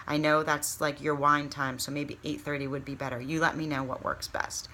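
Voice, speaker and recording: A woman with a slightly low voice gives casual sales training. She is chatty and encouraging, stressing her key points candidly. Some faint noise sits behind her voice. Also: little pitch movement, normal speed, sharp tone. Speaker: consultant giving sales training. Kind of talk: informal training talk with acted sample pitches.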